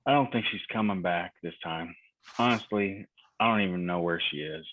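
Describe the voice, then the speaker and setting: A man playing an awkward, resigned father who is gently reassuring in a hesitant manner, in a drama table read. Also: sits low, moderate pace, a little hiss or hum, lightly acted. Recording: thin phone-like video call.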